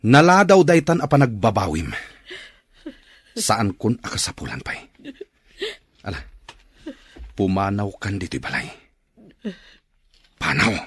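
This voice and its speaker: A man playing a bitter, unforgiving grown son in a radio drama, curt and scolding, with a rough-edged voice. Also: fairly low pitch, very animated, a little slow, long pauses, heavily acted. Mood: angry, bitter. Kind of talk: Ilocano radio drama acting.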